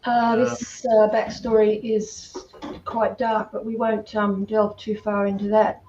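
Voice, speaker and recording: A woman's voice: mid-range voice, completely flat delivery, slow pace, darkish tone. A muffled recording in a roomy space with faint noise carries an older Australian woman's voice. She is a chatty guest, reminiscing in a casual storytelling way. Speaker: podcast guest. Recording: muffled, distant echoey room, faint noise.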